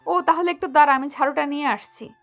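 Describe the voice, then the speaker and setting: Woman playing a high-voiced, stern young woman. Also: steady tone, fast, faint music behind. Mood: indignant, scolding. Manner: sharp-tongued, mocking, indignant. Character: stern, scolding young woman, older than her suitor. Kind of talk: romantic audio story acting.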